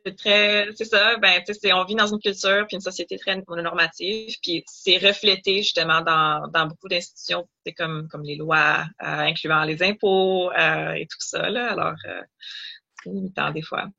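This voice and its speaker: A woman's voice: on the low side, steady tone, normal speed, gravelly, sharp tone, muffled recording. A young woman from Ottawa, a doctoral student guest in an interview, explaining at length with many fillers. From Ottawa, Canada.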